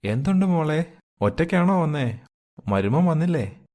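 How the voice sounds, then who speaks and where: A man's voice: a little high, very animated, moderate pace, darkish tone. A man playing a loving, protective middle-aged father in a moral story, with an earnest, affectionate but firm manner. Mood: affectionate, happy.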